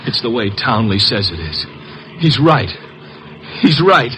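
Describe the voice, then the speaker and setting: An actor playing an unstable, lovesick sanatorium patient, pleading and repeating himself in 1950 radio drama style. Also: male voice, medium pitch, big pitch swings, a little slow, bright, forceful tone. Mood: paranoid, agitated. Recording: noisy old radio recording.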